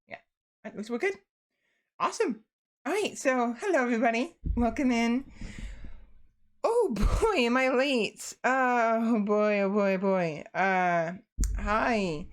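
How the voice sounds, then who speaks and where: A tired young woman streamer chatting casually and rambling to her viewers, with lots of restarts and fillers. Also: a little high.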